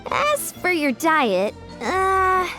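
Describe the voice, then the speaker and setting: A woman playing a confident young fitness coach in a video game, upbeat and brisk, giving instructions. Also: very high-pitched, very animated, pushed and bright, faint music behind, full character voice. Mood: encouraging, firm. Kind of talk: video game character acting.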